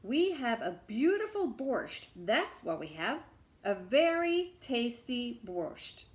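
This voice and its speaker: A woman's voice: a little high, very animated, slow. Woman playing a wily, theatrical male beggar. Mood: satisfied. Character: wily, unhurried male beggar, coolly unimpressed miracle worker.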